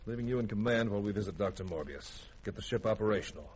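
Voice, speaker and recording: A man acting a gruff but caring starship skipper in a sci-fi radio drama, giving clipped military orders. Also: fairly low pitch, rapid-fire. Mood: grim, resolute. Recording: hissy vintage radio drama recording.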